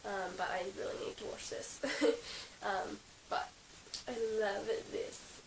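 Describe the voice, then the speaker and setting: A young Australian woman, croaky from being sick, chatting casually in a vlog about her favourite horse gear, giggling often and filling her talk with ums and likes. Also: mid-range voice, little pitch movement, slow pace. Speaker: equestrian vlogger. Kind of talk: casual show-and-tell vlog. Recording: roomy, slightly distant with hiss.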